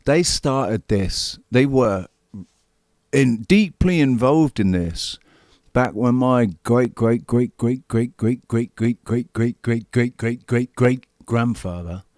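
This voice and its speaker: A man's voice: mid-range voice, takes it slowly, darkish tone, no room sound, studio quality. A man in his forties giving heated, profane political commentary as the host. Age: 40s. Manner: angry, profane, repetitive emphasis. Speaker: show host commenting on a played clip.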